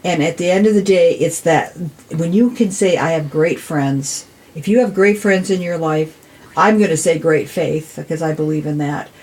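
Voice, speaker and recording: An American woman of sixty speaks as a guest in a casual discussion. Her voice is fairly low, and she makes her points earnestly, with a touch of preaching. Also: moderate pace, darkish tone, low-quality recording. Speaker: podcast guest, city council member.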